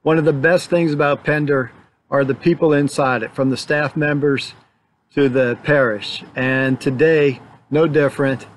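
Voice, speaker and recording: A man's voice: fairly high pitch, steady tone, normal speed, rough recording. A middle-aged man giving an informal introduction to camera for a church video.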